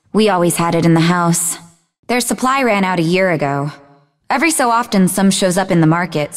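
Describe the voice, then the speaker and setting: Woman acting a snarky, animated young psychic in reverb. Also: on the low side, close to an everyday voice. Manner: sarcastic, teasing. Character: sarcastic young woman psychic, snarky, sharp-witted, easily exasperated. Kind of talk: video game voice acting.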